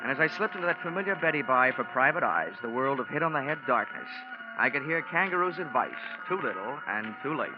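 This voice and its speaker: A man plays a sardonic American private eye with a mid-pitched voice. He delivers wisecracking first-person narration in a dry, hard-boiled way. It is a muffled old radio broadcast with clear music behind. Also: animated, brisk, close to an everyday voice. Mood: wry narration.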